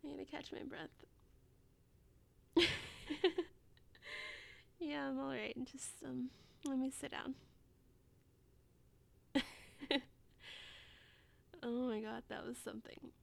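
A woman playing an amorous, playful lover in an intimate adult audio roleplay, breathy and often slipping into whispers. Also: high-pitched, steady tone, brisk, hardly any pauses, a little mellow. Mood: playful, winded.

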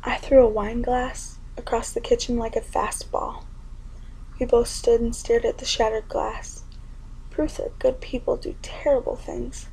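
A young woman performing spoken word poetry in an intimate, often whispered delivery with a gravelly edge. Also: fairly high pitch, little pitch movement, unhurried, darkish tone, a little hiss or hum, low-quality recording.

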